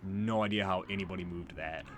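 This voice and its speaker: A man's voice: low voice, fairly even intonation, a little fast, background noise. A man giving casual, offhand and sweary commentary as he plays through a video game. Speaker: let's player. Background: loud video game music.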